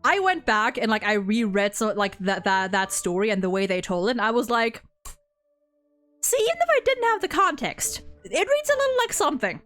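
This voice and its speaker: A woman's voice: on the high side, sing-song, brisk, fairly bright tone. A woman chatting casually as host, giving opinionated fan commentary in a rambling, gushing, sweary way. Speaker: host of a game story ranking discussion. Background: background music.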